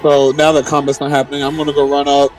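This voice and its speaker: Man, flat intonation, somewhat high pitch, distant mic, casual tabletop player, faint background music. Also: fast talker. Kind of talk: casual game talk.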